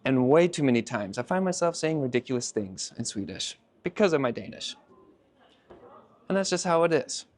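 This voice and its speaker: A middle-aged man from Utah telling his story in a lively, playful vlog style. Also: mid-range voice, normal speed. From United States (Utah). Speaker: vlogger. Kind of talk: casual vlog narration.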